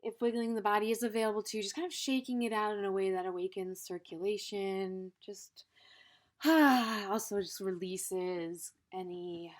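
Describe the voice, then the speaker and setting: A woman leading an unscripted guided meditation in a gentle, unhurried manner, pausing and letting phrases trail off softly. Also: medium pitch, little pitch movement.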